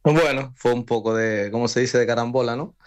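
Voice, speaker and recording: A young man, a former footballer, answering a radio interview in a relaxed, rambling way with many fillers and restarts. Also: medium pitch, a little fast, dull audio.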